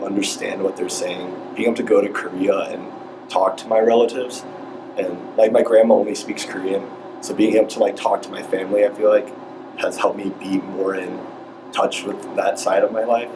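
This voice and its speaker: A young man with a notably deep voice talks through a personal reflection in a loose, rambling way. He sits away from the mic, with a clear noise bed behind him. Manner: loose, rambling, filler-heavy. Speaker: participant. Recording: far from the mic, noisy.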